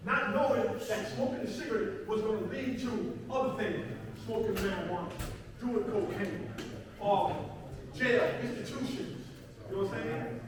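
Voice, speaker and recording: A man with a New York accent gives an earnest testimonial speech in an emphatic, repetitive, colloquial way. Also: high voice. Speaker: speaker at a community assembly. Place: large indoor hall, picked up from afar. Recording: very echoey hall, distant mic, clear noise.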